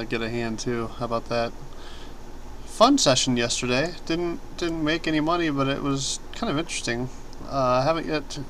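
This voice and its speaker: A young man talks casually through his online poker hands at a desk mic, rambling and poking fun at himself. His voice sits at an ordinary middle pitch, and he clears his throat now and then. A faint hiss of background noise stays under the recording. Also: low-quality recording. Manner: relaxed, rambling, self-deprecating, clears throat often. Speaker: poker streamer. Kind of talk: casual commentary over online poker play.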